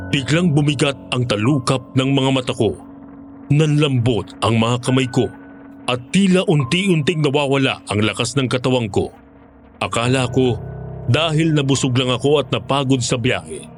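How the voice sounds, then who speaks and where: A man with a Filipino accent telling a scripted first-person horror story as the narrator. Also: medium pitch. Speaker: narrator of a horror audio drama.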